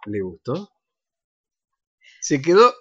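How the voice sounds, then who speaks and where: A man's voice: on the high side, huge pitch swings, slow pace, breathy edge, dry recording, lo-fi sound. A man with an Argentine accent, a radio co-host, narrating scripts and presenting.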